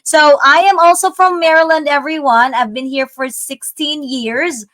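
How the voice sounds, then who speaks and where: A woman's voice: sits high, steady tone, normal speed, a bit bright. A middle-aged woman from the Philippines, a business coach, chatting earnestly on a live video call. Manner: chatty, earnest, laughs easily. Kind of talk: casual live-stream chat.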